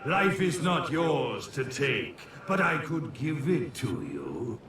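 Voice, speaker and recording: An actor playing a menacing, imperious immortal villain in a video game, with a grandiose delivery. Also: male voice, big pitch swings, a bit bright. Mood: menacing, tempting. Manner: slow, grandiose. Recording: echoing, distant, faint rumble behind.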